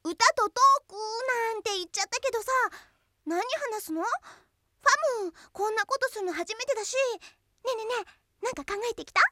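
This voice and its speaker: A woman's voice: very high-pitched, brisk, pushed and bright, no echo, studio quality. A woman playing a cute, dreamy, pouty girl adventurer who talks childishly and refers to herself by name on an anime radio show. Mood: curious, eager. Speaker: radio request show co-host. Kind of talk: scripted anime character radio talk.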